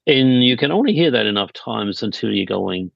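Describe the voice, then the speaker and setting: A man's voice: on the low side, lively intonation, normal speed, gravelly, no room sound, highs cut off. A middle-aged man from Denmark talking as an interview guest, telling business stories informally and acting out bits of quoted dialogue. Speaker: podcast guest, business founder. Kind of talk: conversational interview storytelling.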